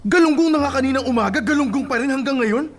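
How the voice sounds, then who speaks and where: A man playing a gruff, hot-tempered, tired working husband who complains and scolds in a radio drama. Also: very high voice, quick pace. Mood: irritated.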